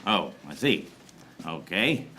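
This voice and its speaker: An actor playing a wry, hard-boiled private eye who narrates a parody noir radio serial in deadpan, recorded live on stage. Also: male voice, low voice, takes it slowly, slight background noise, heavily acted. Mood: flustered deadpan. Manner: deadpan pun-laden monologue. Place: small theater stage before live audience.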